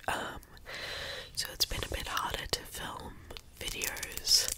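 A young woman whispering softly for ASMR in her bedroom, sounding congested and a bit croaky from a cold, with frequent ums. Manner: soft whispering, slow and unhurried with frequent ums. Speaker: ASMR creator. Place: small bedroom indoors. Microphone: close studio mic with foam cover.

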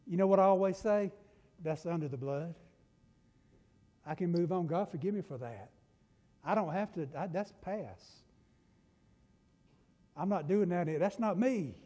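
A middle-aged man with a Southern US accent, preaching a folksy sermon from a church pulpit, swinging between loud and hushed. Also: high voice, animated, unhurried, pauses often. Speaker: pastor. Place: church sanctuary. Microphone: pulpit mic from a far camera. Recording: long church-hall reverb.

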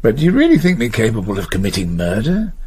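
An actor playing a cool, dismissive British uncle in a radio play, very husky, dry and clipped. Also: male voice, fairly low pitch, wildly swooping pitch, quick pace, darkish tone, full character voice. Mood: wryly indignant. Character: cool, dismissive uncle, a wry professional man.

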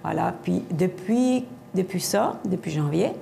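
A middle-aged woman with an Acadian French accent and a strongly gravelly, mellow voice, talking as a singer-songwriter guest. She answers in a lively, expressive way, full of fillers and restarts. Faint noise sits under the studio lapel mic. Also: on the low side, a little slow. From Havre-Saint-Pierre, Quebec. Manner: expressive, gestures a lot, many restarts and fillers. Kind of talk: animated TV interview answers. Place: TV studio set.

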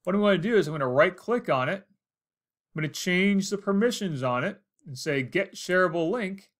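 A man's voice: sits high, lively intonation, normal speed, a bit bright. An American man in his forties narrating a casual instructional screencast, explaining things step by step. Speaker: tutorial host.

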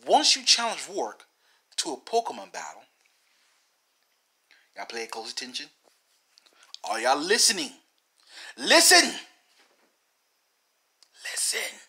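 Animated man rambling about video games. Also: on the high side, slow pace, pauses often, slightly rough. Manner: theatrical, repeats phrases, addresses viewers directly. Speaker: video game commentator. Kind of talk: rambling video game commentary.

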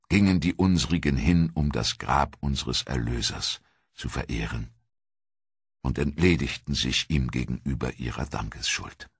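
A man with a very deep, husky voice narrates a historical documentary from a script. His delivery is solemn and measured, yet the pitch moves in lively swings, as in dramatic storytelling. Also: no room sound, clean studio sound.

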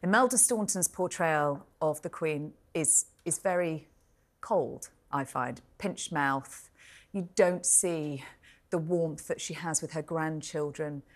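A British woman in her forties with a notably low voice. She speaks as a studio pundit, measured and articulate, leaning on key words as she reviews. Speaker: royal editor, studio pundit. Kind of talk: live broadcast review and commentary. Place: television studio.

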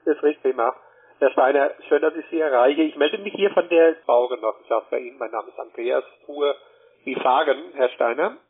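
A man giving a wordy telephone sales pitch, often adding a short checking question. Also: brisk, slightly rough. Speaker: telemarketer. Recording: muffled narrowband phone line.

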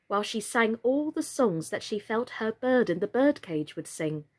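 Female storyteller, 30s, highish and animated in pitch, unhurried, deliberate children's narration. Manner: measured, deliberate. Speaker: storyteller, actress. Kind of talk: expressive children's story reading. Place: small furnished room indoors.

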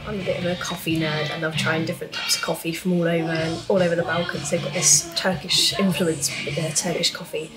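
A woman's voice: on the low side, little pitch movement, a little fast, hardly any pauses, rough recording. British woman vlogging, music behind her. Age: 20s. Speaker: travel vlogger. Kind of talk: casual travel vlog narration. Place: van cab, wooden cafe, cobbled bazaar street. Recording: music over voice.

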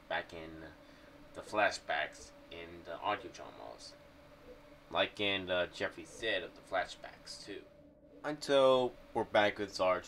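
A young man talks through his ideas in a casual, rambling vlog style. His voice is fairly low yet bright and forward, and he halts and repeats himself as he goes. He sounds somewhat far from the mic. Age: young adult. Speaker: YouTube vlogger. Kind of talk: casual commentary on a horror audio series. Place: small home room. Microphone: condenser mic with pop filter on boom arm. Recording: distant-sounding mic with faint background noise.

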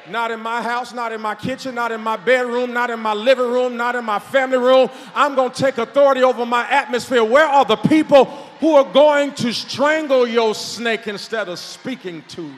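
A man in his forties, a pastor, preaching a sermon on a large church stage with a handheld microphone, shouting and calling on the crowd to respond. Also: very high voice, normal speed, slight background noise. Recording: long hall reverb.

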